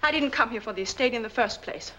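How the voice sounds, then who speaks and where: Desperate young wife, high bright voice, earnest and pleading, 1940s film melodrama. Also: female voice, quick pace. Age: young adult.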